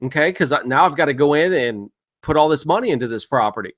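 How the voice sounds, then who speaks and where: A man's voice: on the high side, lively intonation, quick pace, a bit bright. A man giving an instructional sales training talk in a conversational, explanatory way, speaking straight to the listener with emphasis. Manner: conversational, explanatory, acts out short imagined seller exchanges. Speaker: real estate investing instructor.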